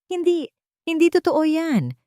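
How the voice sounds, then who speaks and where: A woman's voice: extremely high pitch, very animated, measured pace, warm tone, dry recording, clean studio sound, pitched above the performer's own voice, full character voice. A woman voicing a frail, hurt elderly mother in an audio drama, weak and pleading. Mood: desperate denial.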